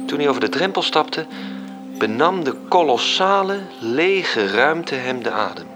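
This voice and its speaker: An actor playing a measured storyteller, reading scripted literary narration for a radio drama. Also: male voice, a little high, big pitch swings. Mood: descriptive. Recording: music under the voice. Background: music.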